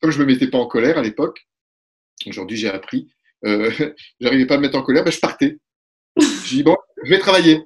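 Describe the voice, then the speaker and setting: A husky, mid-pitched man in his forties talks through his ideas on a video call from a room at home. He speaks animatedly and acts out little back-and-forth exchanges. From Italy. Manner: animated, gestures a lot, acts out quick exchanges. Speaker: couples therapist. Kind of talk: explanatory webinar talk. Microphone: webcam on a video call.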